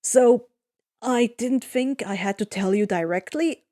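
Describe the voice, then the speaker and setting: A woman's voice: on the high side, unhurried, dark tone, no room sound, clean studio sound, natural voice. A woman voicing a cheeky, upbeat teenage boy demigod who talks eagerly and jokes around, in a fan fiction reading. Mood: joking. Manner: eager, joking, chatty.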